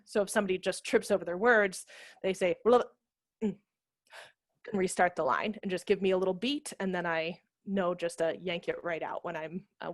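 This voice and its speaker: A woman in her thirties giving informal practical instruction on a video call, explaining with quick asides. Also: lowish voice, no echo, clean studio sound. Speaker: theatre director and workshop presenter. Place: home room with brick wall, video call. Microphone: headset microphone.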